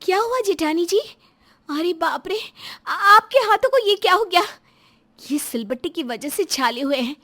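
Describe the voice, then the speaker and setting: A woman as a high-voiced, earnest young daughter-in-law. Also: brisk, hardly any pauses, fairly bright tone. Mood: concerned. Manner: earnest, pleading. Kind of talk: melodramatic Hindi audio story acting.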